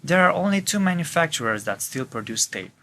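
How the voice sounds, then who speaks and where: A man's voice: a little high, sing-song, brisk, bright, forceful tone, slight background noise. A man reads scripted instructions as an instructor, in a formal, methodical, step-by-step way.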